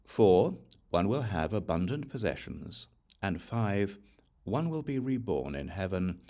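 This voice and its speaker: A man reading scripture as an audiobook, in a measured, deliberate way with pauses between points. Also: sits low, very animated, warm tone. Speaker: audiobook reader.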